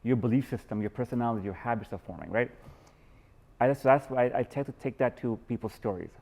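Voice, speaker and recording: A man's voice: medium pitch, fast talker, gravelly, mellow. A man from Pakistan hosting a podcast in a guest's house, opening with formal showman greetings and asking earnest questions. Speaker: podcast host. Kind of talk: podcast interview.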